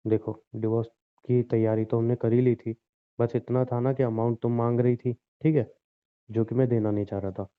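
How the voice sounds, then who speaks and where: A man's voice: medium pitch, level tone, normal speed, smooth, natural voice. A man playing a resigned, injured husband in a relationship audio drama, reasoning insistently and pleadingly with his estranged wife. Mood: calm, persuading. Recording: tinny, narrow phone-like sound.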